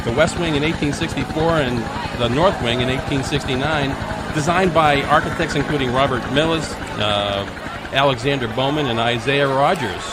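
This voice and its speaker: A man as a guest commentator on a radio show, delivering joking parade commentary in a halting way, repeating phrases for emphasis. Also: mid-range voice. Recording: very noisy radio broadcast.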